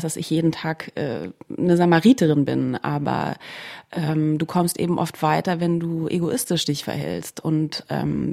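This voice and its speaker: A woman's voice: low-pitched, vocal fry, dark tone. A woman from Germany, a musician being interviewed, speaking reflectively and searching thoughtfully for her words. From Berlin, Germany.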